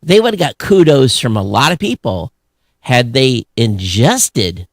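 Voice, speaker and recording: A man co-hosting a podcast in casual, opinionated chat, animated and sarcastic, often halting and breaking off mid-phrase. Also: medium pitch, no echo, clean studio sound. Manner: animated, sarcastic griping with mock quoted voices. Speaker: podcast co-host.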